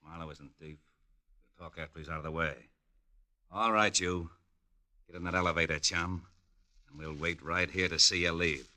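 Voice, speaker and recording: An actor playing a menacing, mockingly polite gunman with a booming foghorn voice in a 1940s radio drama. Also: fairly even intonation, moderate pace, long pauses, sharp tone. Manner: commanding, sardonic.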